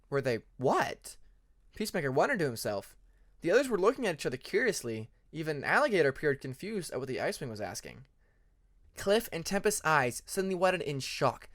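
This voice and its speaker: Expressive storyteller with lively pitch swings. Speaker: audiobook narrator. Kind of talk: expressive fan fiction narration.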